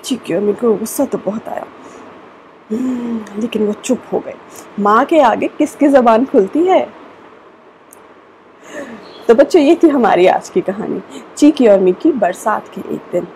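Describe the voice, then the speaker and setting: Woman storyteller, high voice, children's tale, faint noise, home webcam. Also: a little slow, pauses often, low-quality recording. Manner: expressive, acts out characters. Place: indoor room with sofa.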